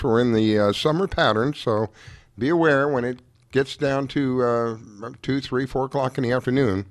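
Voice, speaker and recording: A man hosting a talk radio show, hoarse after a late night, chatting in a rambling way with many restarts. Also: mid-range voice, dry recording. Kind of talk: casual talk-radio chat.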